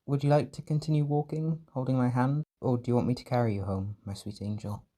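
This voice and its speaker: A man playing a gentle, protective caretaker in a roleplay comfort audio, speaking in a soft, reassuring, tender way. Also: mid-range voice, fairly even intonation, quick pace, natural voice. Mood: tender, soothing. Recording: music and party chatter behind.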